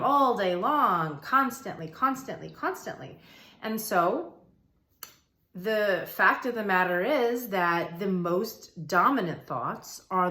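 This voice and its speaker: Slow-paced woman explainer in an echoey room. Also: mid-range voice, pushed and bright. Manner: conversational, emphatic, repeats phrases for emphasis. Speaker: host of a manifestation and meditation channel. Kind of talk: informal spiritual explainer talk to viewers. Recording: roomy, echoey room sound.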